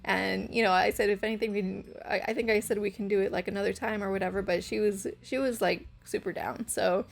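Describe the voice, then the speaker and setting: A young woman with a hoarse, scratchy voice, rambling through a casual gameplay voice-over with lots of fillers. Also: medium pitch, steady tone, fast talker, hardly any pauses. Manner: rambling, hesitant, full of fillers. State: hoarse from karaoke. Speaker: channel host.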